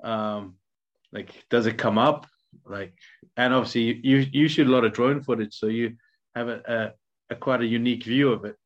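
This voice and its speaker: A man from South Africa asking questions in a casual interview, often restarting his sentences. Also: mid-range voice. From Durban, South Africa. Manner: conversational, halting restarts. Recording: slightly dull lo-fi audio.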